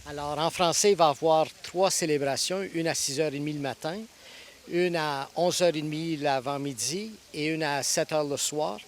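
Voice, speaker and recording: A man in his sixties from Ontario, a priest answering interview questions thoughtfully, pausing and restarting often. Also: a little high. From Ontario, Canada. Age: 60s. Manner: thoughtful, with frequent hesitations and restarts. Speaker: priest and guest preacher.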